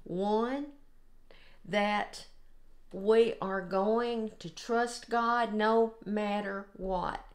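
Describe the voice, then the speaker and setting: An older woman with a Southern US accent, sharing an informal devotional in an earnest, deliberate way. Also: mid-range voice, fairly even intonation. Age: 60s or older. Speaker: host of a devotional video. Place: home kitchen, indoors.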